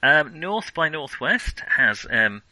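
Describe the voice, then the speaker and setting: Bright, halting man hosting a podcast. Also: fairly high pitch, very animated, dry recording, low-quality recording. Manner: halting, hesitant, frequent self-interruptions. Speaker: podcast host. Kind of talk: casual film discussion.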